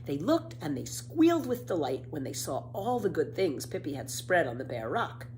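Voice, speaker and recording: A woman reading a children's story aloud in an expressive, careful storytelling manner. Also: low-pitched, normal speed, slight background noise.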